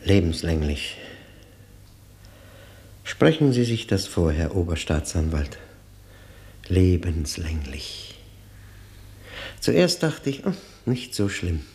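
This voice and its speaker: Radio drama: embittered male lifer, low gravelly voice, slow and halting, haunted, bitterly ironic. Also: big pitch swings, a little mellow, full character voice. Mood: bitter irony. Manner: deliberate, halting, repeating words with grim weight. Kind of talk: German radio play acting, dramatic monologue.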